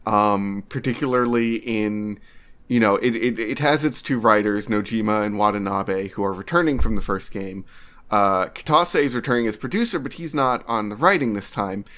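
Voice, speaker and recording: A man co-hosting a podcast in casual discussion, speaking in a measured, halting way as he thinks aloud. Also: fairly low pitch, fairly bright tone. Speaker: podcast co-host.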